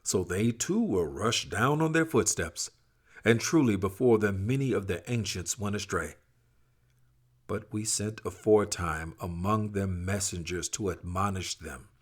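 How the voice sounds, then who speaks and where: A man reads scripture aloud in a fairly low, husky voice. His delivery is solemn and dramatic, measured yet expressive, with formal, archaic phrasing. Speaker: scripture reader.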